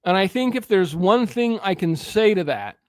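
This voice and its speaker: Guy with a high voice preaching earnestly on a radio show, stumbles and restarts a lot, dry close mic. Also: lively intonation, normal speed, a little mellow, clear, resonant tone, no echo. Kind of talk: preaching commentary.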